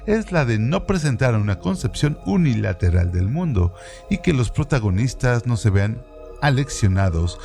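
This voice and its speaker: A man reading scripted literary radio narration in a formal, measured way. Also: medium pitch, very animated, creaky, mellow, faint music behind.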